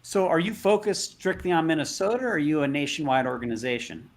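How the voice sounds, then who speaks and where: A man's voice: a little high, quick pace. A man moderating an online panel over a video call, asking casual, rambling questions with frequent restarts.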